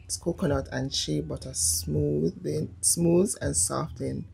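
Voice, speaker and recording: A young woman with a Jamaican accent and a low, husky voice, vlogging casually about her day. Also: steady tone, unhurried, warm tone. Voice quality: husky throat, creaky low voice. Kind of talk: casual vlog talk and chat.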